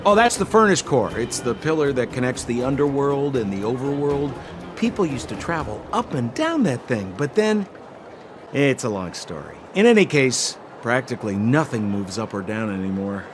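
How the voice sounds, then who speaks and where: A man's voice: mid-range voice, very animated, normal speed, noisy background, lightly acted. A man voicing a roguish, ingratiating con man guide in a video game, with flowery, theatrical politeness. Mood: breezy explaining. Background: game music.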